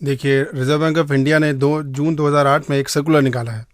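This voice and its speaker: Higher-voiced Indian man explaining rules. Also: steady tone, fairly quick, no echo, studio quality. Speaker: advocate giving legal advice. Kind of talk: explanatory interview answer.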